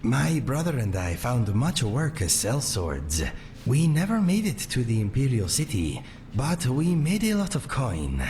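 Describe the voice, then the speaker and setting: A man playing a gentle, courteous cat-folk sellsword in a fantasy game, speaking in measured, formal phrases without contractions. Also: medium pitch, huge pitch swings, slight background noise. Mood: fond, reminiscing. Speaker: companion character in a fantasy video game. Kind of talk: scripted game dialogue. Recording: faint music under the voice.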